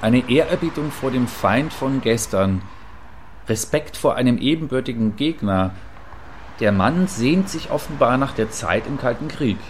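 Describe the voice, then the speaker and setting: A man's voice: mid-range voice, expressive, measured pace, natural voice. Musing contrarian male commentator played by an actor, noisy background. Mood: musing, nostalgic. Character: provocative, philosophizing male radio commentator, nostalgic and skeptical. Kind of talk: radio play studio commentary.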